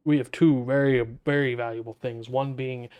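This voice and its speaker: A man's voice: mid-range voice, fairly even intonation, normal speed, slight background noise. A young man giving casual, wry playthrough commentary as a gaming video host, with joking asides. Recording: soft game music behind the voice.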